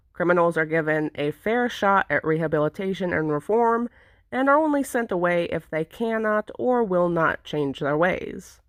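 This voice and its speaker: Woman, low-pitched voice, dry close sound, explanatory video narration. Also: no echo. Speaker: video creator. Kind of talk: semi-scripted explanatory vlog narration.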